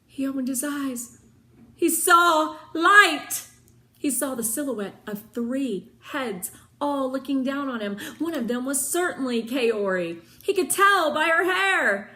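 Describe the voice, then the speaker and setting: A woman reading a children's storybook aloud in an expressive, dramatic manner, with pondering pauses. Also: high voice. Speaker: read-aloud narrator of children's books.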